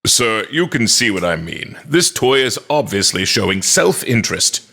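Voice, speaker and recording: A man plays a menacing storybook police inspector with a husky, gravelly voice. He is officious and cold, speaking in formal, insistent phrases. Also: fairly low pitch, big pitch swings, normal speed, pushed and bright, full character voice. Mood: accusing.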